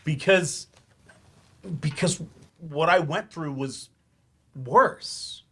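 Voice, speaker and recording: Slow, defensive, frustrated man played by an actor. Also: on the high side, bright, forceful tone, slight background noise, exaggerated voice. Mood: resistant, shaken. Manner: hesitant, grudging, defensive. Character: defensive, frustrated man in therapy, once-promising writer turned teacher. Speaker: actor in a staged reading. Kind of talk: staged play reading, naturalistic dialogue. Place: staged reading at a library.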